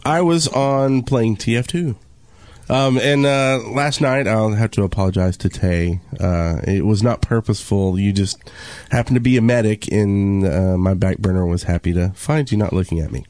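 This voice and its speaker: A man's voice: fairly low pitch, moderate pace, a little mellow, dry recording. A man co-hosting a gaming podcast, telling stories in a casual, rambling way. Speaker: podcast co-host.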